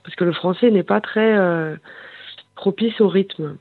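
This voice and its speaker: This is a singer heard over a tinny, phone-like line with a dry sound and no room echo. The voice sits at a middle pitch. The singer talks haltingly, pausing often to search for words. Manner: hesitant, searching for words, many fillers. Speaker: singer and bandleader. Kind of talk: phone interview.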